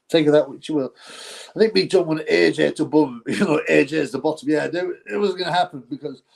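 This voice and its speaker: Male streamer, casual, rambling, mid-pitched, lots of ums and chuckles. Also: gravelly, darkish tone, husky. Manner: rambling, laughs often, frequent fillers. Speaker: boxing channel host reading chat. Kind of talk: casual live-stream chat.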